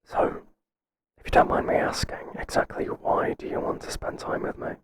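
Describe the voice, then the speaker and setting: A man playing a mild, kindly workplace boss in a whispered ASMR roleplay, soft-spoken and halting with a breathy voice. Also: heavy background noise, natural voice. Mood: gently teasing, curious. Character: mild, hesitant, kindly male workplace boss, flustered by flattery.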